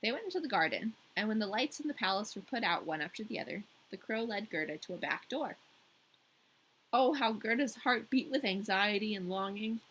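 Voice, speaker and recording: A woman's voice: medium pitch, animated, a little fast, fairly bright tone, breathy edge, poor audio. A woman hosting a story podcast, reading aloud with playful, irreverent casual asides.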